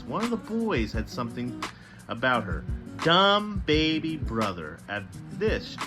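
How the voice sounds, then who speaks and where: A man hosting a storybook read-aloud, reading with dramatic emphasis and adding casual commentary. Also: medium pitch. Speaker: host reading books aloud.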